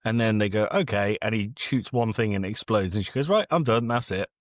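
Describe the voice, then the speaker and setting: A man's voice: fairly low pitch, fairly quick, no room sound, clean studio sound. A man co-hosting a casual film review chat, exasperated, blunt and sweary. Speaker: podcast co-host.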